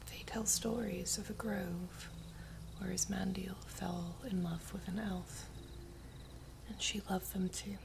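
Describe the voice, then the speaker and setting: Woman as lovelorn elven princess, ASMR roleplay, breathy whisper, creaky edge, tender, pleading, noisy ambient backdrop. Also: mid-range voice. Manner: soft, breathy, halting whisper, slow and pleading.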